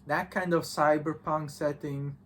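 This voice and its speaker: A man talking casually and enthusiastically as a visual novel reviewer, halting and repeating himself with false starts. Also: on the high side, fairly even intonation. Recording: clearly noisy background.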